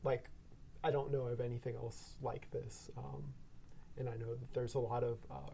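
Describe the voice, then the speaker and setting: A man speaking as a guest sound designer in a casual discussion, halting as he searches for words. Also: medium pitch, little pitch movement, vocal fry, dark tone. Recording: faint background noise and room ambience.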